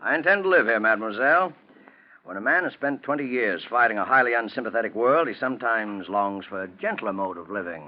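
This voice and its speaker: Actor as low-voiced, grasping, polished schemer. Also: male voice, quick pace, lightly acted. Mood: smug. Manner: formal, polished, theatrical. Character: grasping, suave, cynical businessman with uneasy nerves. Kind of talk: 1940s radio acting. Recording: muffled 1940s radio recording.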